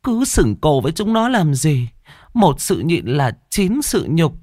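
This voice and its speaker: Casting: flustered chattering village woman, high and quick, played by a man. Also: very animated, darkish tone, no echo, clean studio sound. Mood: fretful.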